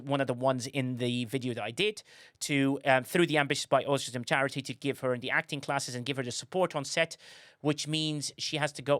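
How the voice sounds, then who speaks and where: A man's voice: medium pitch, a bit bright, clean tone, dry recording, studio quality. A man hosting a livestream, chatting casually in a rambling way and often correcting himself as he goes. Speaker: livestream host.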